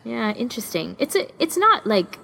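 A woman's voice: on the high side, very animated, moderate pace, vocal fry, pushed and bright, a little hiss or hum. A woman co-hosting a podcast, chatting casually and informally with emphatic opinions. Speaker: podcast co-host. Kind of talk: casual fan chat.

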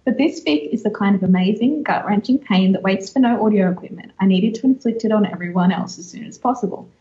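Female podcast host, wry and casual, mid-to-higher everyday voice, slightly muffled lo-fi recording. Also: quick pace, dark tone. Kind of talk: casual podcast chat, giving recommendations.

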